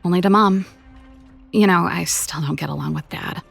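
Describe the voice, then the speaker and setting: A woman voicing a playful, teasing young big-sister inventor and musician in a video game, casual and expressive. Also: on the low side, normal speed, gravelly, soft background music. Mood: casual, reflective.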